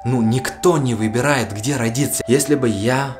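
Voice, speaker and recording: A man's voice: medium pitch, normal speed, over music. A young man talking earnestly to camera from a small room, addressing his viewers directly. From Russia. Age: young adult. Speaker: video blogger. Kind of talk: casual to-camera commentary.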